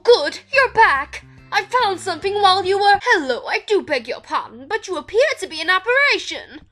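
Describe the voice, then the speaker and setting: A woman's voice: extremely high pitch, pushed and bright, exaggerated voice. A woman voicing a prim, scholarly female pika archaeologist who speaks in a genteel, chatty and easily flustered way. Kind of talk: fan voice acting reel.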